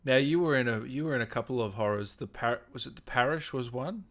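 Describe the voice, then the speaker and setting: A man's voice: medium pitch, fast talker. A man hosting a casual interview, chatty and reactive, often repeating his words as he agrees. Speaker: gaming show host, interviewer. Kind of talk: casual chat.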